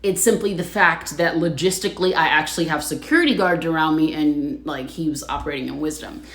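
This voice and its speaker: Woman host, casual livestream chat, a bit low-pitched, roomy echo; chatty, laughs a lot. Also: brisk, a bit bright. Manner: chatty, laughs often, reads viewer comments aloud.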